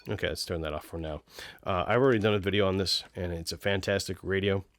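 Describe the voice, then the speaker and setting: Man, deep voice, dry recording, casual video host, informal technical explanations. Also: steady tone, quick pace, no echo. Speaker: video host reviewing radios. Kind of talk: casual product review talk.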